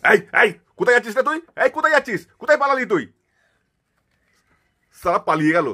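A man's voice: very high voice, very animated, fast, sharp tone, full character voice. A man voicing a protective, alarmed village rescuer in a puppet cartoon, urgent and emphatic. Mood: angry.

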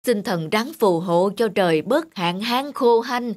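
A woman's voice: mid-range voice, animated, unhurried, darkish tone, natural voice. A woman voicing a poor, pious, superstitious male farmer in a children's cartoon, with a fretful, reverent manner. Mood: reverent, pleading.

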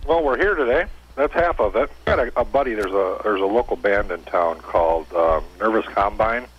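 A man's voice: lowish voice, a little fast, husky, highs cut off. Casual man calling a radio show. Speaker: radio call-in listener. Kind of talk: casual phone chat. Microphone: telephone.

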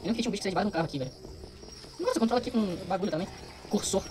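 A man's voice: high voice, racing, a little mellow, slight background noise. A young Brazilian man giving casual, slangy let's play commentary, muttering to himself as he goes. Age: young adult. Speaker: gaming streamer.

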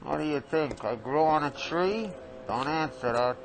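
A man's voice: on the high side, animated, measured pace, noisy background. An actor voicing a sarcastic, grumbling male creature in a radio drama. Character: wisecracking male creature in a suitcase, gruff.

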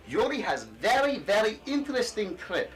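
A man's voice: high-pitched, huge pitch swings, normal speed, distant mic, faint music behind, low-quality recording. An actor playing a boastful middle-aged Russian ex-KGB surplus peddler with a Russian accent, talking in broken English salesman patter. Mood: pitching.

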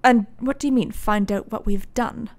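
A woman's voice: a little high, moderate pace, close to an everyday voice. A woman playing a troubled, sceptical male doctor in a radio drama, speaking in an earnest, articulate, formal way. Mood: reluctant, anxious.

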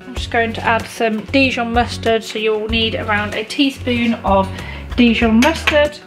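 A woman, talking viewers through a recipe in her home kitchen in a relaxed, chatty way. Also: on the high side, a little slow, over music. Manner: chatty, relaxed, frequent "um" and "honestly". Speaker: cooking vlogger. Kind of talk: cook-along voice-over and chat to camera. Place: home kitchen and living room.

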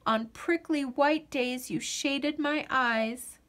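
Woman voicing an earnest, high-pitched storybook girl. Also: takes it slowly, fairly bright tone. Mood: tender, earnest. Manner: earnest, theatrical, exclaiming. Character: brave, emotional young girl in a storybook.

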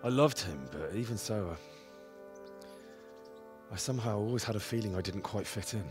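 A man's voice: lowish voice, animated, normal speed, lightly acted. A man playing an earnest young medieval hero. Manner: earnest, plain-spoken. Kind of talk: video game cutscene dialogue. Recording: soft game music behind.

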